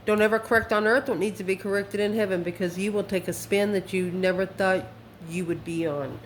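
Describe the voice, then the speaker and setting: A woman's voice: mid-range voice, fairly even intonation, a little fast. A woman giving an informal tarot reading as host, talking in a folksy, emphatic way and addressing listeners with endearments. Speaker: tarot card reader and channel host. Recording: roomy echo, faint background noise.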